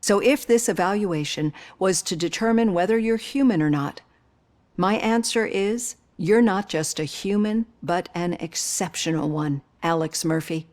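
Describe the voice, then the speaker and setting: An actress playing an earnest woman doctor, speaking in measured, reassuring sentences. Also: lowish voice, a little mellow, natural voice.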